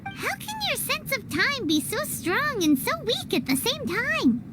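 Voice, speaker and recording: A woman voicing a small, cheeky, childlike fairy companion in a video game, with a squeaky voice and exclaiming manner. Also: sing-song, a little slow, loud music behind the voice, full character voice. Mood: teasing. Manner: refers to self by name, exclaiming. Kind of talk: animated game voice acting.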